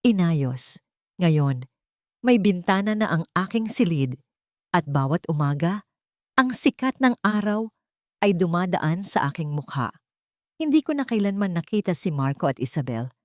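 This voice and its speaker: A woman reading a scripted first-person dramatic story in a measured, expressive way. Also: fairly low pitch, no room sound, studio quality. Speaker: audio story narrator.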